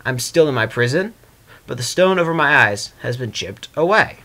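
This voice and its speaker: A man doing an amateur, expressive reading of a first-person story, with casual delivery. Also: mid-range voice, moderate pace. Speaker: fan fiction reader.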